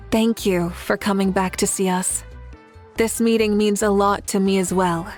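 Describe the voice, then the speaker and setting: An actress playing a composed, warm woman leader in a video game, speaking in a measured, gracious and encouraging way. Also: medium pitch, fairly even intonation, close to an everyday voice. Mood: gracious, calm. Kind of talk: video game voice acting, story dialogue. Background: music.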